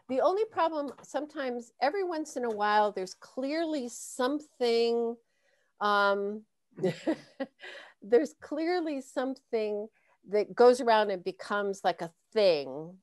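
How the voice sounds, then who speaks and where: A woman in her sixties from the United States speaks with a smooth, clear voice that sits at a middle pitch. She talks in a loose, thoughtful way, pausing on fillers and restarting sentences. The sound is dry and close, like a video call mic. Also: sing-song, dry recording. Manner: thoughtful, hesitant with many fillers and trailing restarts. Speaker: guest speaker.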